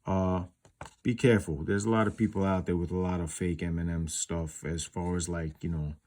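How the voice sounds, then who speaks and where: Low-voiced man, casual rambling commentary. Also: little pitch movement, normal speed, a little mellow. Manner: informal, rambling, explanatory, peppered with you knows. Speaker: collector and video host. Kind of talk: casual unscripted product comparison commentary.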